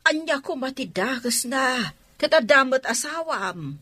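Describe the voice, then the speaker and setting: A woman playing a chiding but caring older female relative in an Ilocano comedy radio drama, expressive, with an Ilocano accent. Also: sits high, unhurried, breathy edge, no room sound, exaggerated voice. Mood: nagging.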